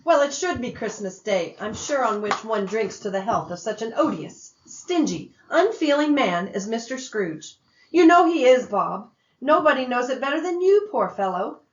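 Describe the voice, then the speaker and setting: A woman's voice: fairly high pitch, big pitch swings, moderate pace, reverberant, dull audio. A woman playing a bustling, outspoken, loving mother with an emphatic manner in an audio drama. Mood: indignant.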